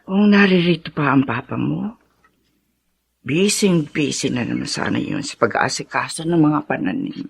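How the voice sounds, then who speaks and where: A woman with a Filipino accent playing a tender, reserved mother in a radio drama, with a husky, emotional delivery. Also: deep voice, expressive, normal speed, exaggerated voice. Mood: wistful. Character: tender, reserved middle-aged rural mother, wistful, gently teasing.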